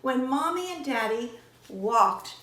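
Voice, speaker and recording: A middle-aged woman reading a children's story aloud expressively, with deliberate, child-directed questions to listeners. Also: high voice, expressive, bright, forceful tone. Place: small indoor room with shelves. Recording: roomy indoor echo.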